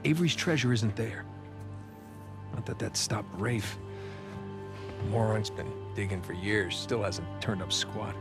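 An actor playing a skeptical, wry American adventurer in a video game, speaking conversationally in a naturalistic style. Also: male voice, on the low side, measured pace, pauses often, over loud music. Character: wry American adventurer, reluctant retired treasure hunter.

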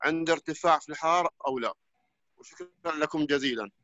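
A man's voice: on the high side, very fast, bright, forceful tone, no room sound. A man calling in to an online lecture, asking a question and searching for his words. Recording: dry, lo-fi online call audio.

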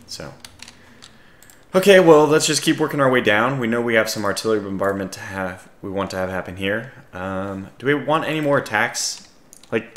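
A man with a scratchy voice giving casual strategy game commentary, calmly thinking aloud as he analyses his options. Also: fairly low pitch, echoey room. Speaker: gaming video host.

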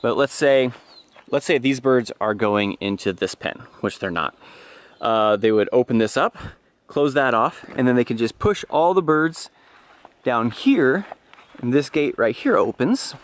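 A man's voice: medium pitch, lively intonation, unhurried. A man in his thirties giving an informal, off-the-cuff walking tour on a handheld camera outdoors. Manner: informal, loosely explanatory. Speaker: farm tour vlogger. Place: outdoors in farm pens. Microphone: handheld action camera at arm's length. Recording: outdoor camera audio with noise and clicks.

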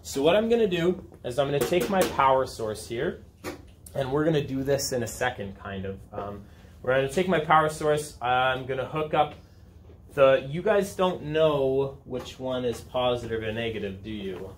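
A man's voice: mid-range voice, lively intonation, moderate pace. A man in his thirties teaching a class in an informal, conversational way, checking in with students as he goes. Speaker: high school chemistry teacher. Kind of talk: classroom lesson, asking students questions. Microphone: camera set back in the room. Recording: roomy, distant camera mic, room noise.

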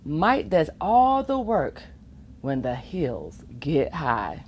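Middle-aged woman, children's storytime read-aloud, very slow, hugely varied intonation, playful, expressive. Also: sits low, a little hiss or hum. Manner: expressive, chuckling asides, acts out the rhymes. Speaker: guest reader of a children's picture book. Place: library children's reading corner, indoors.

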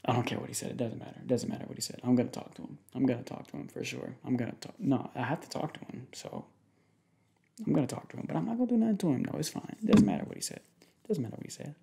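A young man in a casual, improvised boyfriend roleplay, speaking informally in slangy, halting, rambling phrases. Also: mid-range voice, lively intonation, gravelly, warm tone, a little hiss or hum. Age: young adult. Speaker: ASMR roleplay performer playing a protective boyfriend. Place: small indoor room at home.

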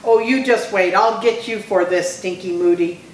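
A woman's voice: medium pitch, moderate pace, close to an everyday voice. A woman voicing a bossy, spiteful second-grade girl from a children's storybook, with a taunting, emphatic delivery. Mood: scolding, threatening. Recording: echoey room, distant mic, faint noise.

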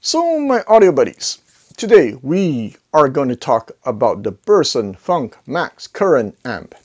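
Middle-aged male, video host, casual review style, conversational, jokey asides, medium pitch, lapel mic indoors. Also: sing-song, a little slow, a little mellow. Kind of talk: casual product review to camera. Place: indoor home listening room. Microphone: clip-on lapel mic.

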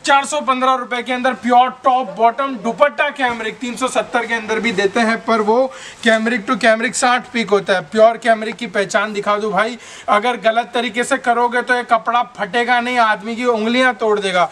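A man in his twenties with an Indian accent giving a showman-like sales pitch to camera as a shop host. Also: steady tone, moderate pace, fairly bright tone. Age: 20s. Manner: showman-like patter, addressing viewers as brothers and sisters. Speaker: shop host selling wholesale suit fabric. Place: large textile shop warehouse, indoors.

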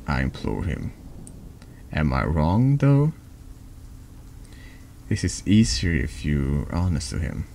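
A deep-voiced man reading horror game dialogue aloud in an ASMR-like style, halting and stumbling over words now and then. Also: vocal fry, darkish tone, slight background noise. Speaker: streamer reading a text adventure game aloud. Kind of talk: slow narrated read-aloud of horror story dialogue, ASMR-like.

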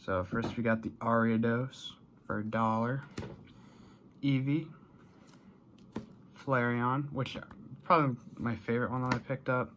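Young American male vlogger, mid-pitched, casual and rambling, bedroom recording with audible noise. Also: steady tone, a little slow, long pauses, a bit bright. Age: young adult. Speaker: vlogger showing a collection haul. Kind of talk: casual chat to camera. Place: small bedroom, indoors.

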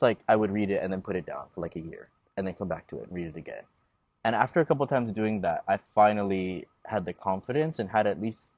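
A man in his twenties, a guest in a relaxed outdoor panel talk, explaining at length and gesturing as he speaks. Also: lowish voice, no echo, dull audio. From Guam. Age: 20s. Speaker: guest, Chamorro language learner. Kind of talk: casual panel conversation. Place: outdoors at a table in a leafy garden. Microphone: lapel mic.

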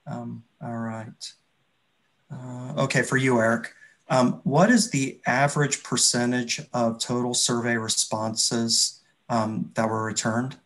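A middle-aged man moderating a webinar on a video call, reading out questions in a measured, hesitant way. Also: mid-range voice, flat delivery, a bit bright, poor audio. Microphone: computer video-call microphone.

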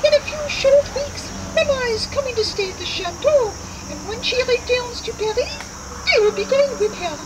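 A very high, childlike voice over loud outdoor noise and insects. A woman plays a brave little French nobleman in a puppet show. He speaks in earnest, formal proclamations. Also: lively intonation, normal speed. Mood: friendly, earnest. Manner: grandiose, formal declarations. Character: brave, earnest eight-year-old French aristocrat boy puppet. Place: outdoor puppet theater at a reenactment. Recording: very noisy outdoor recording with insects.

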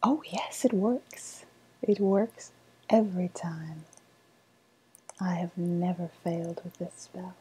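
A woman playing a sly woodland witch in a softly spoken ASMR roleplay, half-whispering in a deliberate, sweetly ominous manner. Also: lowish voice, slight background noise, low-quality recording. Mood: hushed, ritual. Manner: slow, deliberate, hushed, ominous.

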